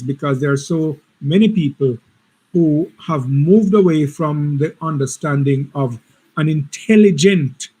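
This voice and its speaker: A man's voice: on the high side, darkish tone, poor audio. A man presenting a seminar, earnest, with frequent repeated words and restarts. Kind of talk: reflective seminar talk.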